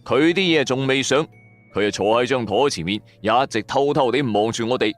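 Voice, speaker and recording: A man with a Cantonese accent narrating a story in an expressive, colloquial, dramatic scripted style. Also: medium pitch, brisk, soft background music.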